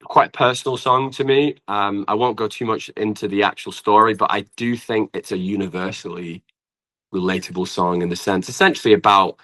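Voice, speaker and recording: A man's voice: lowish voice, steady tone, fairly quick, sharp tone. A man with a northern English accent chatting casually as an interview guest, rambling informally with lots of "like". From Preston, north of England. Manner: rambling, informal, often says "like". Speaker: guest singer-songwriter and producer.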